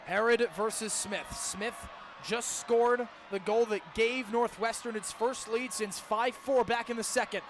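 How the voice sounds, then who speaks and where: A man's voice: very high voice, fairly even intonation, a little slow. A young man calling live sports on the radio, describing each move in detail with dramatic phrasing. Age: young adult. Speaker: play-by-play broadcaster. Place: outdoors at a lacrosse stadium. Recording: clear outdoor stadium noise behind.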